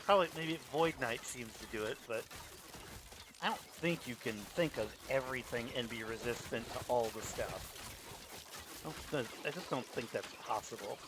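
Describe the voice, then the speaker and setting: A man streaming a game, chatting in a relaxed, rambling way. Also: mid-range voice, moderate pace, background noise. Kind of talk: casual commentary while gaming.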